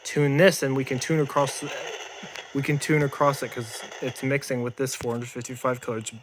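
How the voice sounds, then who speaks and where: A man giving an unscripted show-and-tell as an amateur radio hobbyist, halting and often repeating and rephrasing himself. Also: medium pitch, little pitch movement, slight background noise, rough recording. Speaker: amateur radio hobbyist demonstrating homemade gear.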